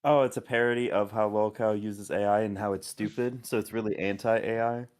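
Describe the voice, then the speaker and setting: A man's voice: fairly low pitch, steady tone, a little fast, darkish tone. A man chatting casually as a podcast guest, joking in a dry, mock-serious way.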